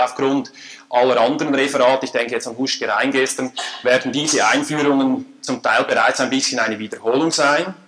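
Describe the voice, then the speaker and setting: A man with a Swiss German accent giving a formal lecture at a conference, measured and dryly humorous. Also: medium pitch, fairly bright tone, rough recording. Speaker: conference speaker. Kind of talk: academic lecture. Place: lecture hall.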